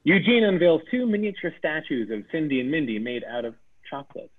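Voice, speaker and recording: A man's voice: a little high, big pitch swings, brisk. A man reading the stage directions at a comedy table read, amused and laughing between lines. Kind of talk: reading a comedy script aloud. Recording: tinny phone-like sound.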